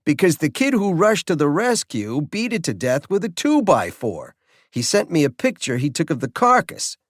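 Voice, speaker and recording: A man voicing a brash, excitable, cynical middle-aged game warden in an audiobook, talking informally and emphatically. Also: fairly high pitch, moderate pace, no room sound, clean studio sound, voice pushed up. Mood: excited, urgent.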